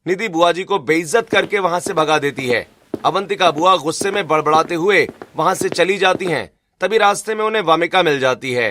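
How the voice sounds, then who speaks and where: A man's voice: fairly high pitch, brisk, noisy background. A man reading scripted narration for a cartoon family story.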